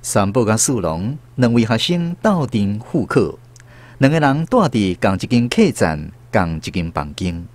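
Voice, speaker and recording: A man with a Taiwanese accent narrating a scripted radio drama as an expressive storyteller. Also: lowish voice, unhurried.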